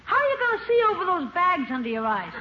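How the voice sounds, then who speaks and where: An actor playing a cheeky, energetic young schoolboy nephew, pert and teasing, in a 1950s radio sitcom. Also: very high voice, fast talker. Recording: muffled, noisy 1950s radio recording.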